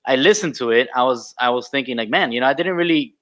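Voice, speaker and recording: Mid-pitched man in casual chat. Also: fast talker, a bit bright. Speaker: podcast host.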